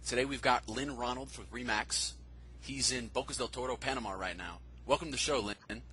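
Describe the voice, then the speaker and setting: A man's voice: medium pitch, fairly quick, pushed and bright. A man hosting a podcast interview, upbeat and enthusiastic as he asks his questions. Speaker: podcast host.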